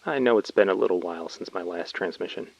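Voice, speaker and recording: A man's voice: low-pitched, fast talker, gravelly, a little mellow. An actor playing a colony labourer in an audio drama, telling his story informally in a message to his brother. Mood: affectionate, confiding. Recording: dry, slightly dull close recording.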